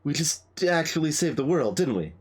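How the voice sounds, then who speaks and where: A man voicing a gruff, casual teenage monster girl from a video game, in a halting, offhand manner. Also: a little high, very animated. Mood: puzzled, reflective. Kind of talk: game dialogue read aloud.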